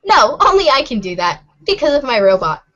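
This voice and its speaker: A woman plays a very high-pitched teenage girl in amateur webcomic theater. She explains things patiently and casually, earnest yet cryptic. The recording is slightly muffled. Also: very animated, brisk, a bit bright, heavily acted. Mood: patient, matter-of-fact.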